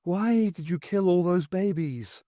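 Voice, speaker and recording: A man voicing the ghost of a dead woman with a sing-song Scottish Highland accent, speaking through a child and accusing her husband. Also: animated, unhurried, mellow, no echo, studio quality. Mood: sorrowful accusing. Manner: accusing, sorrowful. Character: grieving pious Highland wife's ghost, accusing.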